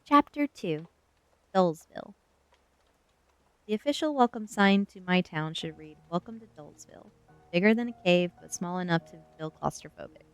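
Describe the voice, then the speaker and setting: Low-pitched woman reading aloud on stream. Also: expressive, normal speed, clear, resonant tone, no room sound, faint music behind, poor audio. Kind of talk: reading a novel aloud on a livestream, with chat asides; ASMR reading.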